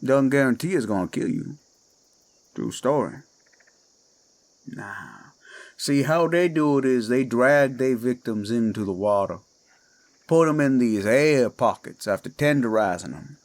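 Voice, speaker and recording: A man with a Cajun accent playing a menacing male werewolf who poses as a friendly swamp guide, talking in a slow, coaxing drawl in a horror audio drama. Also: mid-range voice, expressive.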